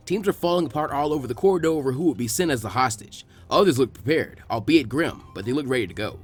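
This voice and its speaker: A man reading fan fiction aloud as an expressive scripted narration. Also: fairly high pitch.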